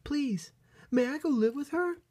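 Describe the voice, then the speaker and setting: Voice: sits high, expressive, measured pace, darkish tone, pitched above the performer's own voice. A reader voicing a small young bunny princess in a children's storybook, eager, kind and earnest. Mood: excited.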